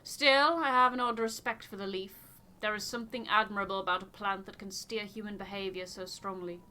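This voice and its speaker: A woman's voice: mid-range voice, fairly even intonation, a little fast, sharp tone, close to an everyday voice. A woman voicing a stiff, aloof male scientist in a game, speaking in a formal, clipped, precise way. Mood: haughty, musing.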